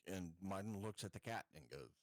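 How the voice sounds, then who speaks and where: A man's voice: low-pitched, animated, fairly quick, dry recording, studio quality. A man chatting casually as a player in a tabletop roleplaying game. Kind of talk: casual chat, playing in character.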